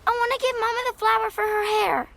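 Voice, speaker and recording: A woman's voice: very high voice, fast, fairly bright tone. A woman voicing a sweet, eager little girl in a motion comic, with a childlike way of talking. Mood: excited. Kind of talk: scripted cartoon dialogue.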